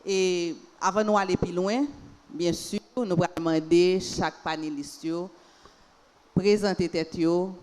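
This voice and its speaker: A woman's voice: fairly low pitch, fairly even intonation, a little mellow. Haitian woman hosting a panel. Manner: unhurried, repeats phrases for emphasis. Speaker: panel host. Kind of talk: moderating a panel discussion.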